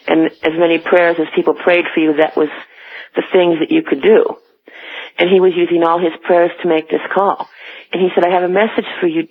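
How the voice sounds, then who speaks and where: A woman phoning a radio show and telling a personal story in a conversational way. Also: lowish voice. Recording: tinny, narrow telephone line.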